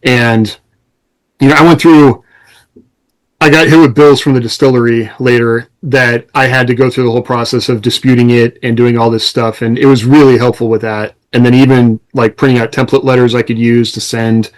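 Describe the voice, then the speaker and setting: A man's voice: mid-range voice, flat delivery, normal speed, low-quality recording. A man co-hosting a casual podcast, talking in an explanatory, conversational way. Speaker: podcast co-host and author.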